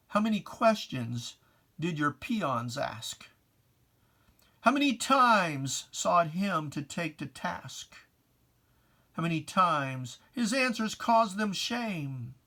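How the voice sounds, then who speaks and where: A person giving a dramatic reading of religious verse in a theatrical, deliberate, taunting manner with pointed pauses. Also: medium pitch, gravelly, fairly bright tone. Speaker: poetry reader.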